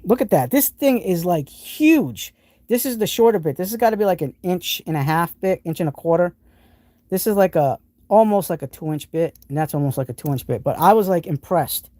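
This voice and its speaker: A man with a New England accent talks through his tools on a live stream, excited and rambling, often restarting his sentences. His voice is mellow and warm in tone, recorded close and dry, with little room sound around it. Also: high-pitched, fairly quick, no room sound. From New England, United States. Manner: enthusiastic, rambling with frequent restarts. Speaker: host of a live tool stream. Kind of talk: casual live stream, showing tools and answering chat.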